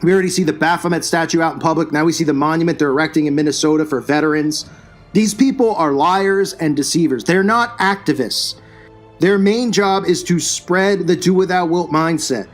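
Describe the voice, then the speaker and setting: A man hosting his own channel, delivering a combative, scornful religious commentary rant. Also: high voice. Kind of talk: religious commentary rant over news clips.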